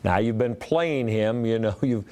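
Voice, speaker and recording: An American man hosting a sports interview, asking long, winding questions in a halting, conversational way. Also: on the low side, mellow. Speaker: sports show host interviewing a guest.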